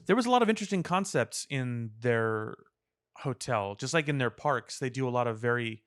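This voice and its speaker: Male podcaster, medium pitch, casual and wry, tight room-free sound. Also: lively intonation, moderate pace, clear, resonant tone, no room sound, clean studio sound. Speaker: podcast co-host. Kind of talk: casual pop culture banter.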